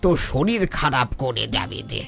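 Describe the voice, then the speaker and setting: A man playing a frail, gentle, ailing old father in a cartoon, speaking tenderly and with resignation. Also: medium pitch, big pitch swings, normal speed, creaky, breathy edge, faint music behind, exaggerated voice. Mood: resigned, caring. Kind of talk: Bengali cartoon voice acting.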